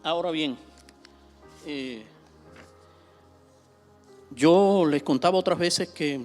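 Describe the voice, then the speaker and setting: A man's voice: on the high side, takes it slowly, a little mellow, soft background music. A middle-aged Cuban man, a pastor teaching a Bible seminar in a conversational way, with many fillers, repeating himself and pushing up sharply when he stresses a point. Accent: Cuban Spanish. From Cuba.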